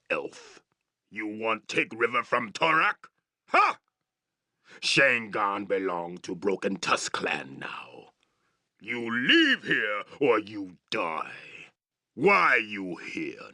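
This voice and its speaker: An actor playing a brutish, boastful orc chieftain in a fantasy video game, growling threats in broken English. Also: male voice, fairly low pitch, bright, forceful tone, no echo, studio quality, exaggerated voice. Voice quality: gravelly, growling.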